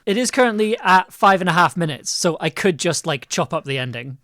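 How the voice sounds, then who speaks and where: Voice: medium pitch, expressive, a little fast, a bit bright, no room sound, studio quality. A person with an androgynous voice hosting a live stream casually, chatty, apologetic and welcoming.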